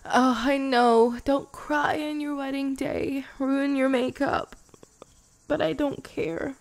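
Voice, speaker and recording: A woman voicing a loving young vampire girlfriend in an intimate, improvised ASMR roleplay, tender and hushed, often slipping into whispers, close on the microphone. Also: sits high, steady tone, slow pace, gravelly, no echo, exaggerated voice. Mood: fearful, devoted. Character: loving young vampire girlfriend, playful yet afraid of loss.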